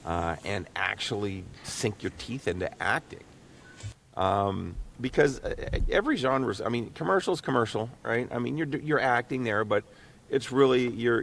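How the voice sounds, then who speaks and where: A man hosting a casual podcast, talking in a halting, rambling way and repeating words as he thinks aloud. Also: on the low side, vocal fry, a little hiss or hum. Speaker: podcast host and voice actor. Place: small home recording booth.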